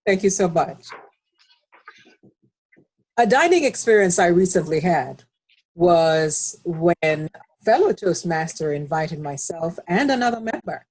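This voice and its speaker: A woman with a Jamaican accent giving an impromptu speech on an online call, slow and savoring in her descriptions. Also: medium pitch, darkish tone, breathy edge, rough recording. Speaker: Toastmasters speaker. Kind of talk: impromptu table topics speech. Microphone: online video call.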